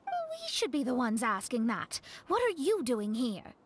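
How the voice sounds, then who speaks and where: An actress voicing a haughty young princess with pompous, formal speech in a video game. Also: female voice, sits high, wildly swooping pitch, measured pace, background noise, full character voice. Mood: questioning. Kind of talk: anime-style video game dialogue.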